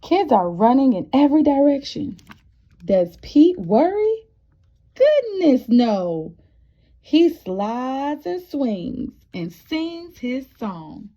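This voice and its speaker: A woman teacher reading a picture book aloud to young children, expressive and encouraging, often asking the listeners questions. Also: high voice, slow pace. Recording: dull, muffled lo-fi sound.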